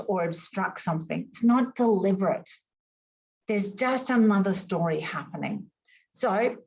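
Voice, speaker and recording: A woman whose first languages were Greek and Arabic, teaching an online class over a video call in a lively, emphatic way full of rhetorical questions. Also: mid-range voice, highs cut off.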